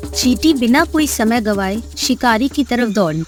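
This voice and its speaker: A woman's voice: on the high side, expressive, normal speed, over music. A woman narrating a scripted moral story for young children.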